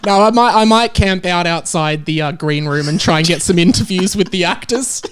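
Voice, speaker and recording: A man's voice: sits high, normal speed, heavy background noise. A young man with an Australian accent hosting a podcast in a casual, playful, joking chat. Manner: jokey, playful. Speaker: podcast host.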